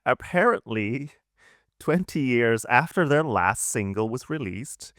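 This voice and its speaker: A man's voice: medium pitch, expressive, a little slow, a bit bright, no echo, clean studio sound. A man co-hosting a podcast, giving his views in casual conversation. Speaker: podcast co-host. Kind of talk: casual opinionated chat.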